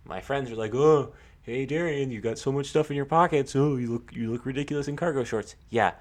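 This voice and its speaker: A young American man co-hosting a podcast, talking casually about sports with a halting, repetitive storytelling manner. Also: medium pitch. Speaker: podcast co-host.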